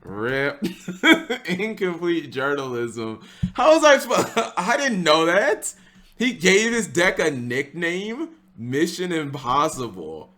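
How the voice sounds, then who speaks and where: A man's voice: on the high side, very animated, slow pace, fairly bright tone. A man giving casual live reaction commentary as a streamer, exclamatory and often repeating his phrases.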